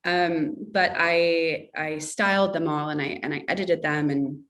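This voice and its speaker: A fairly low-voiced woman talking in long, effusive, rambling turns, often doubling back to restart a thought. She is a guest on a video call. She sits a little away from her microphone, so her voice sounds distant. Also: steady tone, measured pace, fairly bright tone. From Russia. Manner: effusive, rambling, circles back mid-sentence. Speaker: author, interview guest. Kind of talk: casual interview chat.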